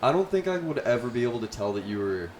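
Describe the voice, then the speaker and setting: A man's voice: medium pitch, big pitch swings, fast talker, a little mellow, slight background noise. Easygoing young man hosting. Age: 20s. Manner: easygoing, encouraging, chatty. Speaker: podcast host. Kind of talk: casual interview.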